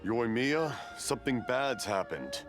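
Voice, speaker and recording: Flustered, animated old dad. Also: male voice, medium pitch, a little slow. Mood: alarmed. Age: older. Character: flustered elderly father, hard of hearing. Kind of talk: video game voice acting. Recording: music behind the voice.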